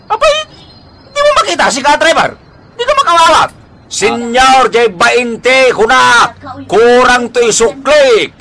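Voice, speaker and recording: A man's voice: very high-pitched, big pitch swings, takes it slowly, vocal fry, crowd noise, exaggerated voice. A man with an Ilocano accent playing a hot-tempered, quarrelsome bully in a radio drama, scolding and exclaiming in bluster. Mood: indignant, scolding.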